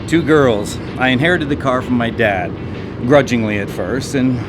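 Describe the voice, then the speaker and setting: A man's voice: medium pitch, moderate pace, music in the background, natural voice. An actor in a radio drama playing a chatty, genial family-man salesman at the wheel, polite and a little hesitant. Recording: live radio play, loud car drone.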